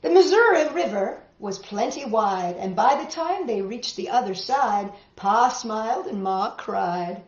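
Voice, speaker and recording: A woman reading picture books aloud to children, expressive and exclaiming, lingering on words and adding chatty asides. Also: a little high, unhurried, few pauses. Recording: dull, echoey room recording.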